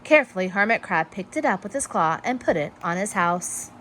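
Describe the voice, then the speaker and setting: Woman reading a storybook with lively intonation. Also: medium pitch, a little fast, a little hiss or hum. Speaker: storybook reader. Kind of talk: children's read-aloud narration.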